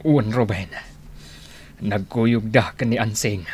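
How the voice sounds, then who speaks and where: A man's voice: fairly low pitch, takes it slowly, a little mellow, a little hiss or hum. A man with an Ilocano accent acting a vengeful, grieving older father in a radio drama. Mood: stern. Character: vengeful older father Tata Ignas, raging with grief.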